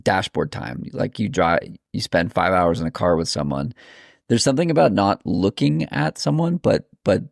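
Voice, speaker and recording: A man's voice: low voice, normal speed, creaky, no echo, studio quality. A man hosting a podcast, interviewing his guest in a casual, conversational style.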